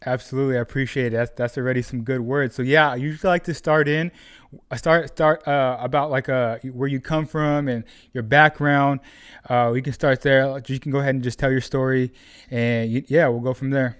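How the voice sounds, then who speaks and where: Male podcast host, mid pitch, smooth resonant voice, dry sound, casual interviewer. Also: a little fast, no echo. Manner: relaxed, loose questions with restarts.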